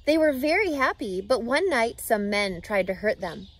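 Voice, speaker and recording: A woman in her thirties reading a children's book aloud outdoors, with an expressive, teacherly delivery that emphasises key words. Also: on the high side, moderate pace. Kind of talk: animated children's picture-book read-aloud. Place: outdoors, grassy lakeside yard. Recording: outdoor ambient background noise.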